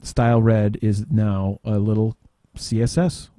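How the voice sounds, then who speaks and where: A man giving an informal software demo, halting and tangential as he thinks aloud in casual talk. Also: lowish voice, little pitch movement, no echo, studio quality. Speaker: podcast panelist demonstrating software.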